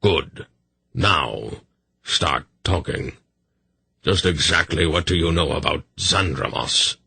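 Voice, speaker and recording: A man voicing a testy, gruff old man who turns quietly menacing, in a low husky voice. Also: slow pace, no echo, full character voice.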